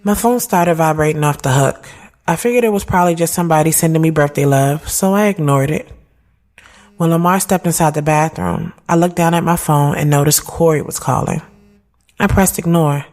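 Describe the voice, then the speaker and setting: A woman in her thirties playing a sharp-tongued, moody woman who tells her own story in a candid, slangy audio drama. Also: sits low, brisk. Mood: candid narrating. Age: 30s. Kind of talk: first-person audio drama narration and dialogue. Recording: music bed behind the voice.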